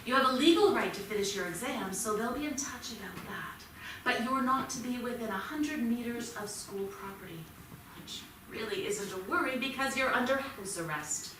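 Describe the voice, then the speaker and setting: Stern, anxious mum, played by a stage actress, high-pitched, naturalistic, in a very reverberant hall. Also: female voice, normal speed, lightly acted. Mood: tense, reproachful. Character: worried, stern mother confronting her arrested teenage son. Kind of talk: staged play reading, naturalistic stage acting. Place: theatre stage at a staged reading. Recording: echoey theatre, distant mic, clear noise.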